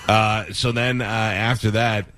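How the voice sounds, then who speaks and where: A man's voice: on the low side, flat delivery, a little slow, pushed and bright. A man hosting a radio show, telling stories in a rambling, dry way with many fillers. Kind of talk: casual radio chat.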